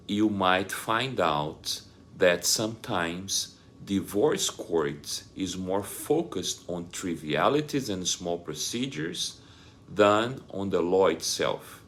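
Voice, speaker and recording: A middle-aged man presenting a scripted explainer to camera in a deliberate, measured, formal way. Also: low-pitched, fairly bright tone, a little hiss or hum. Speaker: host of an advice channel.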